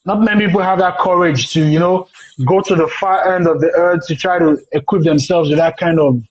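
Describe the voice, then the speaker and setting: Nigerian-accented male host, high-pitched, warmly encouraging interviewer on a call. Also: fairly even intonation, fairly quick, highs cut off. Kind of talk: casual interview conversation.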